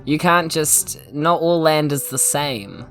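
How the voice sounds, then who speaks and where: A woman with a New Zealand accent playing a tabletop game, jokey and quick to laugh. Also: sits low, lively intonation, faint music behind. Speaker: player.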